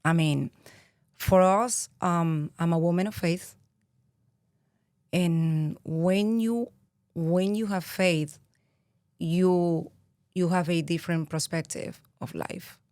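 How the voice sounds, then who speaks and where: Slow, low-voiced Venezuelan woman. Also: darkish tone, clear, resonant tone, dry recording, clean studio sound. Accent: Venezuelan Spanish. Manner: reflective, earnest, with frequent pauses and fillers. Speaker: guest. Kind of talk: interview conversation.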